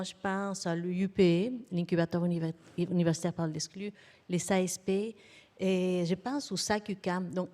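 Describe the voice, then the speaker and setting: A woman's voice: mid-range voice, a little mellow. A woman academic giving semi-improvised remarks on a panel, earnest and repeating key terms for emphasis.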